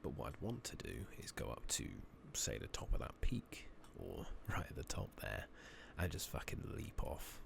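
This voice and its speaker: Low, gravelly soft-spoken British man. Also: sing-song. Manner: casual, unhurried, muttering to himself, mild swearing. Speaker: video game streamer. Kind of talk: soft-spoken ASMR game commentary, partly whispered. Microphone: close microphone. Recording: noisy mic with keyboard clicks.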